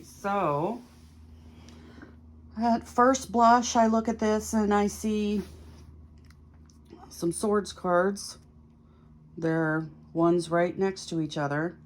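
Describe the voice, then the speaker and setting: A woman hosting a tarot reading, chatting casually and thinking aloud in short, musing phrases. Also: on the low side. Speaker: tarot reader hosting a video series.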